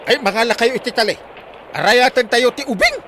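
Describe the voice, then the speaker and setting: A man's voice: very high-pitched, a little fast, sharp tone, a little hiss or hum. A man playing a flustered, bossy village uncle in a comedy drama, speaking urgently with an Ilocano accent.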